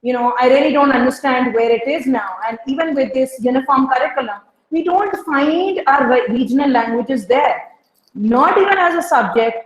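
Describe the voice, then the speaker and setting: A woman from Punjab talks earnestly and thoughtfully, often pausing on "you know" as she works through her points. Her voice sits high, and the video-call audio carries a roomy echo. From Punjab, Pakistan. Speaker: webinar speaker. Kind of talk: earnest reflective talk.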